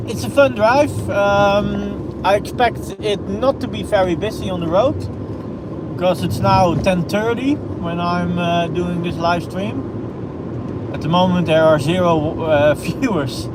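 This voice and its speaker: A man with a Dutch accent who hosts a driving channel, hesitantly narrating a live-streamed drive from inside his car with many fillers and restarts. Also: high-pitched. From Netherlands. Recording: loud car road noise.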